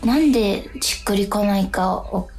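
Female radio co-host, mid-to-low register, polite and tentative, thinking aloud in casual conversation over faint music. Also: normal speed, darkish tone. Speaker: radio show co-host and stage actress. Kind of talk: casual radio talk between actors.

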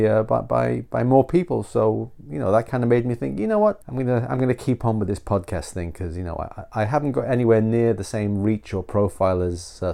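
A man's voice: fairly low pitch, creaky, mellow. A middle-aged man hosting a solo podcast, nasal from a cold, rambling and self-deprecating with frequent restarts. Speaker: podcast host.

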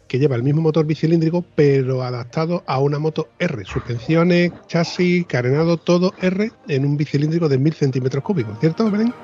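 A male interview host with a dark, relaxed tone asks curious questions and lays out details at length. His voice is a little breathy and husky. The recording sounds slightly muffled and lo-fi. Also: fairly high pitch. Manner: inquisitive, explains in detail. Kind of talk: podcast interview.

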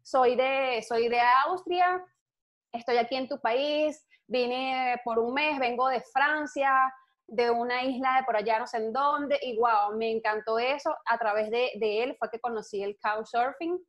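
A woman's voice: on the high side, unhurried. Outgoing young Venezuelan woman, lo-fi. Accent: Venezuelan Spanish. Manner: outgoing, animated storytelling, laughs easily. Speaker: guest. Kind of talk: casual interview chat. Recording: dull lo-fi sound.

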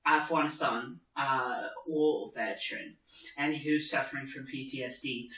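A man's voice: on the high side, a bit bright. A man chatting casually as a podcast co-host, emphatic and opinionated, repeating phrases as he builds his point, in a roomy space. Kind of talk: casual TV discussion chat. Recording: roomy, echoey room sound.

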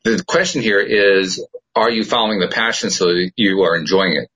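Husky low-voiced middle-aged man. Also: lively intonation, normal speed, a bit bright, muffled recording. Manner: reflective, earnest storytelling. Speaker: podcast host. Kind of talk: casual conversation.

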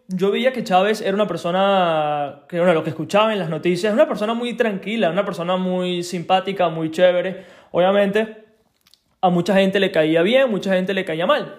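A young man with a Venezuelan accent hosting a solo podcast, talking conversationally with frequent tag questions and pauses. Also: high voice. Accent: Venezuelan Spanish. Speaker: podcast host.

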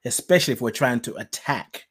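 A man's voice: mid-range voice, animated, a little fast, fairly bright tone, husky. A man who grew up in Nigeria tells his life story as a guest, reflective and laughing often. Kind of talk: personal storytelling in conversation.

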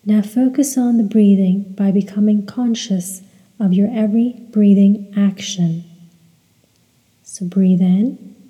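A woman leading a guided meditation in a measured, deliberate, instructional way, with some recitation. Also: medium pitch, fairly even intonation, mellow. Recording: long hall-like reverb.

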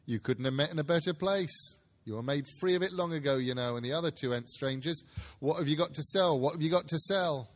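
A man's voice: fairly high pitch, fairly quick, natural voice. A man voicing a sly, familiar old rascal who deals in stolen rags, in a story reading. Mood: sly, eager. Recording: reverberant hall, live stage reading.

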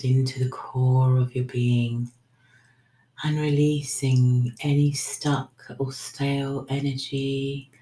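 A woman leading a guided breathing meditation, giving soothing, unhurried instructions that sometimes trail into a whisper. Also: very deep voice, warm tone. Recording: roomy, echoing sound.

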